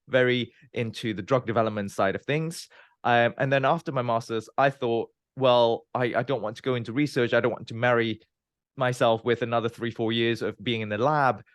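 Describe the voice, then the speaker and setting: A man in his thirties from Malaysia, a scientist guest explaining his career in a measured way with frequent "um" and "you know". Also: medium pitch, dry recording, studio quality. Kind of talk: interview, recounting his career.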